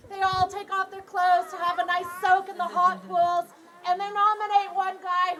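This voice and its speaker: A young Canadian woman guiding a tour outdoors, projecting her voice loudly to a crowd and joking as she explains. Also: extremely high pitch, a little hiss or hum. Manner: loud projected voice without a speaker, joking. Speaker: tour guide. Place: outdoors beside a geyser.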